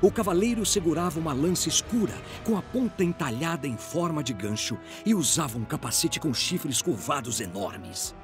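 A man with a Brazilian accent delivering solemn, theatrical scripted story narration. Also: fairly high pitch.

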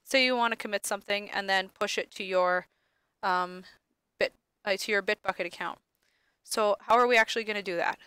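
A young woman lab instructor, sniffly from allergies, talking casually through a screen-recorded software tutorial with many ums. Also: medium pitch, fairly quick, smooth, dry recording.

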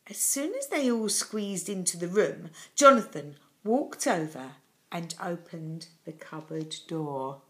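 An older woman reading a children's story expressively and dramatically, with deliberate pauses, in a small room at home. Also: fairly low pitch, animated, a bit bright.

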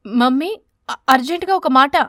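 A woman voicing a young schoolboy pigeon in an animated story, insistent and grumbling. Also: high voice, moderate pace, dry recording, studio quality. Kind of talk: animated bedtime story character.

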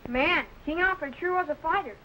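A man's voice: very high voice, normal speed. A young male actor playing an eager teenage lab assistant in 1950s children's television style. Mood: impressed. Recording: noisy vintage TV soundtrack.

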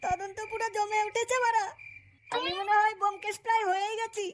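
A woman's voice: very high voice, little pitch movement, fairly quick. A woman voicing a brash, boastful, foul-mouthed male amateur detective in a comic cartoon. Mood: brash, indignant. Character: cocky, foul-mouthed adult male self-styled detective.

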